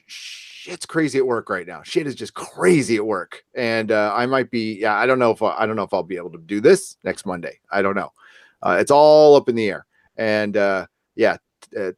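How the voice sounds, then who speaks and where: Halting, jokey man hosting a podcast. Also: on the low side, no echo, clean studio sound. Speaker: podcast host. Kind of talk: casual chat.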